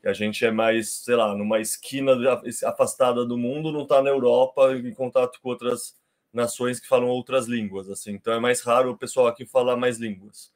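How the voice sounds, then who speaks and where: A man in his thirties from Brazil with a Brazilian Portuguese accent, talking as a podcast guest in an unhurried, explanatory way with frequent restarts. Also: mid-range voice, fairly even intonation. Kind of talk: casual conversation.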